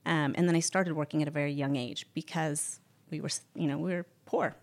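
Young woman guest, low gravelly voice, candid and chatty with frequent ums. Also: fairly even intonation, fairly quick, a little mellow. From Spain. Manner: candid, chatty, laughs often, many ums. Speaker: interview guest. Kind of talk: casual interview chat.

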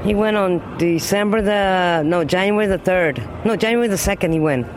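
A man's voice: high voice, normal speed. Older man talking over street traffic. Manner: plain, rambling, restarts dates. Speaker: interviewee. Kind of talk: street interview. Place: city street outdoors. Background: traffic noise.

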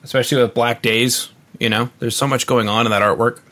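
A man with a medium-pitched voice hosts an interview podcast. His delivery is casual yet measured, with deliberate phrasing. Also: steady tone, sharp tone. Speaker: podcast host.

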